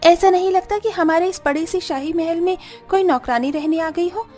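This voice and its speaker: A woman's voice: very high-pitched, normal speed, dark tone, soft background music. A woman voicing a snobbish, scornful, rich mother-in-law in a cartoon story. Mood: sneering. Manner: scornful, sneering.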